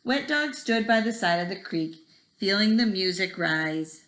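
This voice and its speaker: A woman's voice: medium pitch, animated, a little slow, fairly bright tone. A woman reading a children's picture book aloud expressively, with a rhythmic delivery that draws out repeated words. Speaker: read-aloud storyteller. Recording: echoey room.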